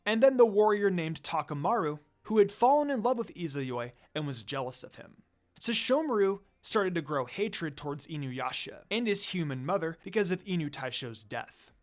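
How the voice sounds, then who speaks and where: A man reading a story aloud as scripted narration. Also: a little high. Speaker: fan fiction narrator.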